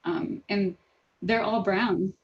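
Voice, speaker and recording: The recording is slightly muffled and lo-fi. A woman of medium pitch talks earnestly in a group discussion, pausing deliberately between thoughts. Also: steady tone. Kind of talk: casual panel discussion. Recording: dull lo-fi audio.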